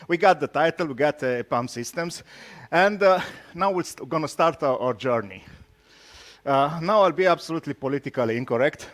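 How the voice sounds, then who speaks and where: A man from Romania with a Romanian accent gives an informal tech talk, speaking haltingly and teasing his audience with jokes. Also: a little high, animated. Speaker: conference speaker, solution architect.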